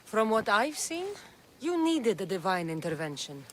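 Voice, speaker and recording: A woman's voice: medium pitch, big pitch swings, moderate pace, a little hiss or hum, lightly acted. A woman playing a young, tough, defiant Spartan mercenary warrior in a video game, speaking in a firm, blunt, self-assured way. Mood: dry, steady. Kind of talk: dramatic video game acting. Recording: music behind the voice.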